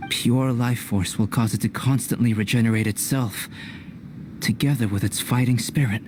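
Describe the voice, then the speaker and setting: A man acts out a young game alchemist with a calm, detached air. He speaks formally and precisely, like a scholar at work, with clear noise behind his voice. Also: medium pitch, natural voice. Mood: calm, analytical. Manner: measured, formal, analytical delivery. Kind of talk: scripted video game cutscene acting.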